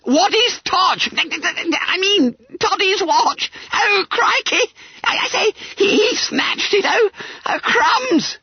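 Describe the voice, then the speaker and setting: Casting: a whiny fat schoolboy, shrill and stammering, swooping all over the place, dull lo-fi sound. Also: male voice, wildly swooping pitch, normal speed, breathy edge, dry recording, exaggerated voice. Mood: panicked. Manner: squeaky, stammering, babbling. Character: fat greedy schoolboy, whiny and self-pitying.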